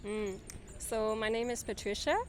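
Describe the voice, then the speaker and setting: A woman's voice: mid-range voice, measured pace, slight background noise. Chatty woman, light laughs. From Quebec, Canada. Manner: relaxed, laughs often, hesitant fillers. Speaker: guest. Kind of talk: casual interview conversation.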